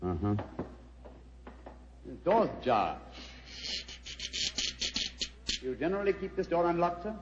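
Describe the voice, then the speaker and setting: British gentleman detective, wry and clipped, lively pitch, noisy muffled old radio mystery. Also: moderate pace. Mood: urbane. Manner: clipped, urbane, dryly ironic. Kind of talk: 1940s radio drama acting.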